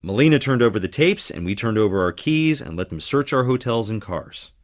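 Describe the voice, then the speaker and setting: A man's voice: mid-range voice, lively intonation, fairly quick, natural voice. A man playing a wry, guarded young theatre worker in an adventure game, speaking conversationally. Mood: matter-of-fact. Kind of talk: scripted adventure game dialogue.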